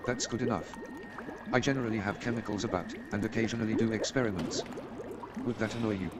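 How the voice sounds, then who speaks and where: A man's voice: fairly low pitch, fairly even intonation, quick pace, over music, close to an everyday voice. A man playing an eager, self-assured amateur detective and chemist in a staged radio play, speaking in an emphatic, pedantic way. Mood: brisk, candid. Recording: noisy with watery background sound.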